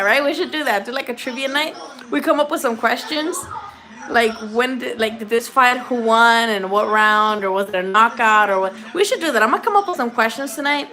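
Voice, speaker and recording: High bright young woman streaming. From Mexico. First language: Spanish. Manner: chatty, swears freely, reacts aloud. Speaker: boxing livestream host. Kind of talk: casual livestream chat.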